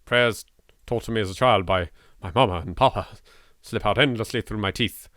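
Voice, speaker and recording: A man's voice: lowish voice, moderate pace, fairly bright tone, no echo, studio quality. A man with an English accent doing let's play commentary, reading game text dramatically and adding sweary, jittery, sardonic asides. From England. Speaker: video game let's player.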